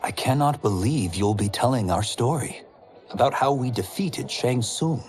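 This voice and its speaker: An actor playing a humble, earnest young monk who speaks politely. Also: male voice, mid-range voice, animated, normal speed, a little mellow, soft background music, lightly acted.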